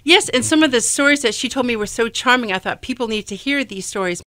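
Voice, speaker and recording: A woman's voice: on the high side, expressive, fast, sharp tone, no room sound, clean studio sound. A woman explaining things articulately in a radio interview. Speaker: radio show cast member and history writer.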